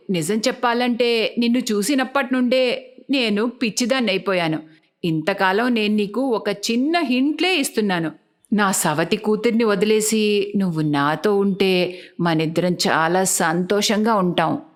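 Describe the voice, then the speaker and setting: A woman's voice: mid-range voice, a little slow, pitched below the performer's own voice, close to an everyday voice. A woman voicing a scheming, lovestruck middle-aged stepmother in a cartoon story, with a coaxing, insistent manner. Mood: flirtatious.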